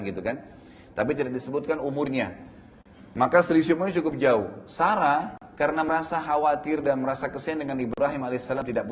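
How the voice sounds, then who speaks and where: A man's voice: on the high side, a little hiss or hum. A man giving an Islamic history lecture, explaining the story as a teacher with frequent confirming tags. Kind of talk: religious lecture retelling prophetic history.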